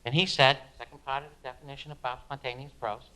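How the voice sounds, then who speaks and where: A man's voice: medium pitch, steady tone, quick pace, pushed and bright, smooth, lightly acted. A man playing a fervent beat writer, delivering a dramatic, emphatic spoken-word monologue about his craft. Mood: reflective. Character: fervent beat-generation male writer reminiscing and preaching on writing.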